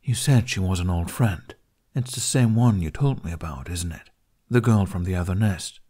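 A man voicing a low, calm, firm dragon. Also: moderate pace, husky. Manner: reasoning, ordering.

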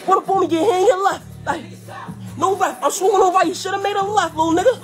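A young man doing a squeaky helium voice as an aggressive, boastful drill rapper. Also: fairly even intonation, fast, soft background music, some chatter behind. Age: young adult. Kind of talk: drill rapping.

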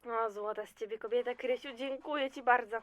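A woman's voice: on the high side, steady tone, brisk, no echo, lightly acted. A woman playing a chatty, motherly office worker who soothes and coaxes with endearments in an audio drama. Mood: coaxing. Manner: soothing, coaxing, full of endearments. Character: chatty, motherly female office fixer, reassuring and gossipy. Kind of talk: amateur audio drama acting.

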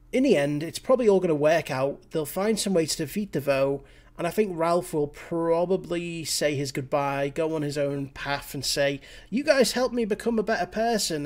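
Young man with a cold, opinionated. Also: on the high side. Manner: opinionated, talks straight to camera with fillers. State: slightly scratchy voice from a cold. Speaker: reaction channel host. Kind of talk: casual reaction commentary. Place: small home room, indoors. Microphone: headset.

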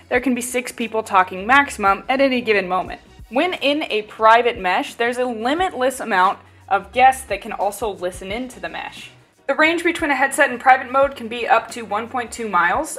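A woman with a fairly high voice narrates a product tutorial. She reads scripted, step-by-step instructions, with faint music playing behind her. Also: a bit bright. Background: background music.

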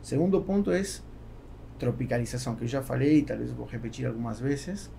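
Gravelly man with a Spanish accent. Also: medium pitch, dark tone. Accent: Spanish-accented Portuguese. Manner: halting, with repeated words. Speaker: podcast guest, businessman. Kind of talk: casual interview talk. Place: small foam-padded podcast studio. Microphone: close studio microphone on boom arm. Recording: faint background noise.

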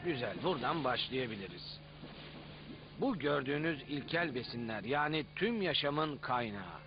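A man's voice: medium pitch, animated, moderate pace, close to an everyday voice. A man playing the patient, explanatory storyteller-narrator of an educational cartoon. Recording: muffled audio with music behind.

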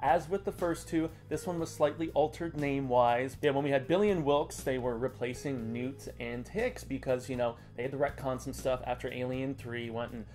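A man's voice: mid-range voice, moderate pace, few pauses, darkish tone, soft background music. A man in his thirties with an American accent, giving a casual, conversational comic review as co-host. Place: small indoor room lined with comic shelves.

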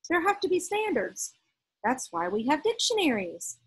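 A woman's voice: sits high, sing-song, voice pushed up. A woman voicing a formal female school principal in a storybook, defending standards in an official manner. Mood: stern, reasonable. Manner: measured, official, sometimes hesitant.

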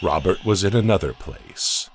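A man narrating a dark audio comic story in a scripted, dramatic way, often dropping to a hush at the ends of lines. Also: deep voice, animated, a little hiss or hum. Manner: slow, dramatic storytelling with hushed drops.

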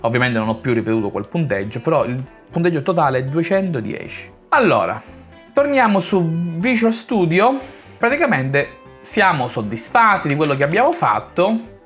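A man's voice: fairly high pitch, very animated, moderate pace, a bit bright. A man in his thirties giving a casual, informal coding tutorial as a channel host, explaining step by step. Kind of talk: casual screencast tutorial narration. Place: small home room, indoors. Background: faint background music.

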